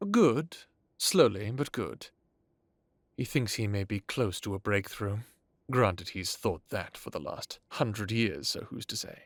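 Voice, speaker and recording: Man playing a slow, courtly ancient vampire lord. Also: on the low side, expressive, no echo. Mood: wry. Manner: formal, measured, genteel. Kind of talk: dramatic audio roleplay.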